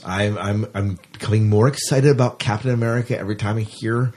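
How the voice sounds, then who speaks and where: A man co-hosting a podcast in casual chat, with a husky voice. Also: on the low side, steady tone, fast, a little mellow. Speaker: podcast co-host.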